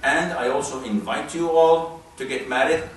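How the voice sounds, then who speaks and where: A man from Saudi Arabia, giving a religious lecture as an Islamic preacher in an emphatic, deliberate way with rhetorical questions. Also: on the high side. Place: mosque hall, talk to an audience. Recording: distant, very echoey room, faint noise.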